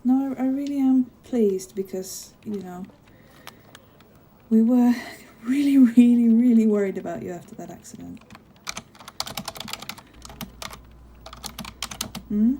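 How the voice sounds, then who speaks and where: A woman's voice: fairly high pitch, normal speed, slight background noise, natural voice. A woman playing a friendly, chatty giantess office worker in an ASMR roleplay, caring and a little hesitant as she talks to a tiny colleague. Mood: caring, confiding. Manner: bubbly, caring, apologetic, hesitant with soft laughs. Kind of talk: ASMR roleplay, one-sided conversation.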